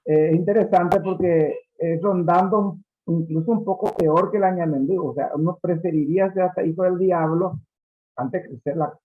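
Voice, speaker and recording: Guy explaining in a seminar, lots of ums, dull muffled sound. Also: high voice. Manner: halting, many drawn-out fillers. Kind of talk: seminar discussion. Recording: dull lo-fi audio.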